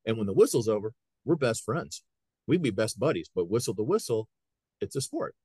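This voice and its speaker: Earnest lower-voiced coach from Ohio. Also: male voice, very animated, fairly quick, darkish tone, husky, no echo. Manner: earnest, retells scenes by quoting people. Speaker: high school wrestling coach. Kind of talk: casual podcast conversation.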